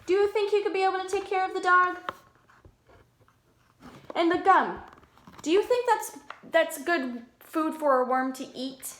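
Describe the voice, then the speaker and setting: A woman's voice: very high-pitched, measured pace, long pauses, fairly bright tone, slight background noise. A woman preschool teacher reading aloud to young children, talking simply and putting questions to them. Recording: echoey room.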